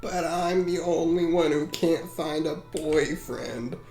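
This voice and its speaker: Woman playing an insecure rich teen girl, slow, echoey. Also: sits low, dark tone. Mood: insecure, whiny. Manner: whiny, blunt, dramatically self-pitying. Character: foul-mouthed, insecure, tearful rich teenage girl. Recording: roomy echo, music underneath.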